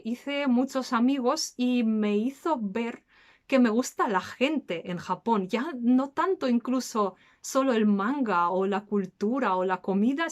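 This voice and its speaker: A woman in her early thirties, a native Russian speaker with a slight Russian accent, chatting casually as she answers questions as a channel host. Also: on the high side. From Belarus, later raised in Spain. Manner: chatty, breaks into laughs.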